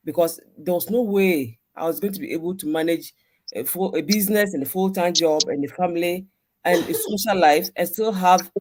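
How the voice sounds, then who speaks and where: A woman's voice: fairly low pitch, poor audio. A woman from Ghana speaks on a video call as a panel guest and gives tips in an earnest, casual way. She often repeats and restarts her phrases as she talks. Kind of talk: casual advice chat.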